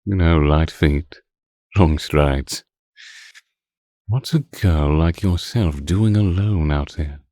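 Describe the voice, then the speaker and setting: An extremely low-voiced, ancient vampire count played by a man speaks in an unhurried, courtly way. His tone is dark and velvety, teasing and condescending as he toys with his prey. The roleplay audio is close and dry. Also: dry recording. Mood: suave, apologetic. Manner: formal, archaic, teasing and condescending. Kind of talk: slow intimate roleplay audio monologue.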